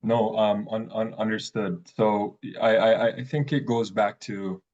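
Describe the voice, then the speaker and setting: A man hosting an interview who asks questions haltingly, with many stammers and fillers. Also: fairly low pitch, fairly even intonation, darkish tone, lo-fi sound. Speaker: interviewer, host.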